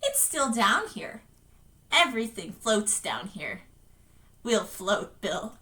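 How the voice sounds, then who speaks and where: Female reader as ghostly little boy, monstrous and taunting, bright-edged voice, slightly off the mic. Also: mid-range voice, big pitch swings, takes it slowly, far from the mic, heavily acted. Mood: sinister, luring. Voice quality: wavering. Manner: accusing, eerie.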